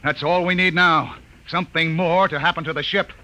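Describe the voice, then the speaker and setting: An actor playing a brisk, authoritative captain of a space expedition in an old science fiction radio drama. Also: male voice, fairly high pitch, steady tone, music in the background, natural voice. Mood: grim, frustrated. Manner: brisk, authoritative, giving orders. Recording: noisy, crackly old radio recording.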